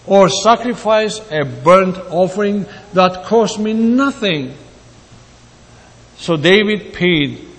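Slow, high-voiced preacher in reverberant hall. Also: male voice. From Greece. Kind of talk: sermon.

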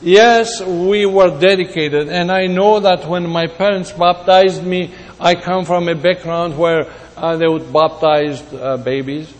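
A man from Greece preaching a sermon in a deliberate way, repeating words for emphasis. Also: high-pitched, steady tone. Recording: long hall reverb.

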